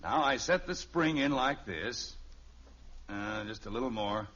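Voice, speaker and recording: A man's voice: lowish voice, measured pace, fairly bright tone, breathy edge. An actor playing a stubborn, testy family father with a sardonic streak in a 1950s radio sitcom. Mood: concentrating. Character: stubborn, easily exasperated middle-class family father. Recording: dull, noisy old radio recording.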